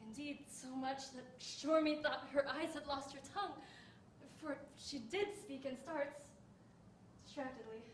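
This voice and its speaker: A woman's voice: high-pitched, measured pace. A teenage girl playing a bewildered young woman disguised as a man, musing aloud in a puzzled, wry way, recorded from afar in an echoey hall. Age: teens. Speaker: student in a monologue contest. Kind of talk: stage monologue recitation. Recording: echoey room, distant mic, faint hiss.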